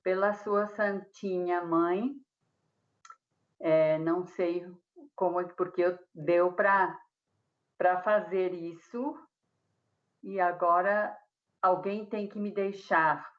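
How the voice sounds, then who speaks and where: A Mexican woman, a speech therapist, speaking Portuguese with a Mexican Spanish accent, halting with many fillers and restarts on a video call. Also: fairly low pitch, steady tone, muffled recording. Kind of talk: hesitant conference talk. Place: room at home.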